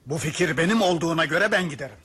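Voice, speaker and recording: A man playing a practical, caring train passenger who calmly takes charge, in a Turkish radio drama. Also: on the high side, racing. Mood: practical, firm. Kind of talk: Turkish radio theatre acting. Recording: noisy old radio drama recording.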